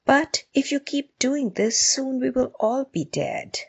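Woman as high, timid jungle animal. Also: animated, takes it slowly, breathy edge, lo-fi sound, exaggerated voice. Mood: timid, pleading. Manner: timid, pleading, reasoning. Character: timid jungle animals pleading with their king.

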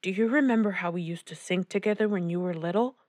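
Woman voicing a gentle, encouraging uncle. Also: medium pitch, normal speed, no room sound, clean studio sound, close to an everyday voice. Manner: tender, reassuring, mixes in Spanish endearments.

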